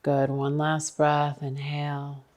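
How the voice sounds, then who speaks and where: A woman yoga instructor guiding breathing and stretches with calm, encouraging, short cues. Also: low-pitched, takes it slowly. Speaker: yoga instructor leading a class.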